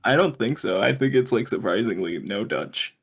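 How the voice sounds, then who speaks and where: A man's voice: lowish voice, animated, moderate pace, creaky, husky. A man co-hosting a podcast, chatting casually in a joking, rambling way. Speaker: podcast co-host. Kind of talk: casual comedic chat.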